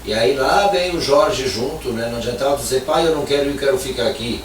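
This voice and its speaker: A man's voice: medium pitch, fast talker. A man hosting a talk show, chatty and joking, often tagging his phrases with questions. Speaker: radio talk show host. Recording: echoey, distant, faint noise.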